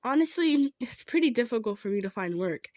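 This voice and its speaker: Girl voicing a sweet modest centaur lady, gentle n kinda hesitant, close dry sound, roleplay audio. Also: a little high, lively intonation, brisk, dry recording, close to an everyday voice. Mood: torn, apologetic. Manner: gentle, hesitant, stammering, earnest. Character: kind, modest, earnest female centaur traveler. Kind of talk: improvised roleplay audio drama, addressed to listener.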